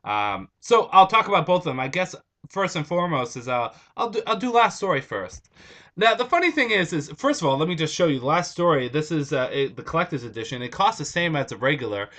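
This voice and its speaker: Bright-voiced young man, slightly raspy. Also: fairly high pitch, expressive, fairly quick. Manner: rambling, restarts. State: stuffed up from allergies. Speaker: vlogger. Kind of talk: casual vlog chat. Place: at home.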